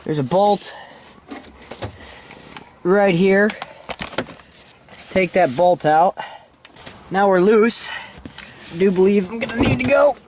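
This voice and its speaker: Man, high pitch, casual DIY how-to narration, informal, working while talking, in-vehicle recording, faint background noise. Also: slow pace, long pauses. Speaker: DIY car installation vlogger. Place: inside a Jeep, handheld camera.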